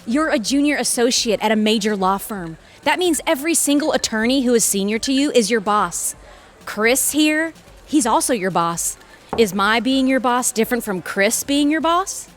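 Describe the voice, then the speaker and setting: An actress playing an exacting, irritated senior lawyer in an audio drama, speaking in a cutting, sarcastic and articulate way. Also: female voice, a little high, expressive, moderate pace, natural voice. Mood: sarcastic, stern. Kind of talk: audio theatre dialogue acting.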